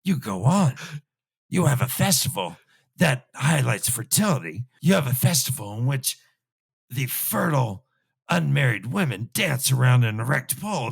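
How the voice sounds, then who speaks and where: Guy with a creaky voice doing a funny book recap, talks like a pretend expert, really emphatic. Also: mid-range voice. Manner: emphatic, mock-scholarly, repeats himself for effect. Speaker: podcast co-host. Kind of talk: comic book recap and discussion.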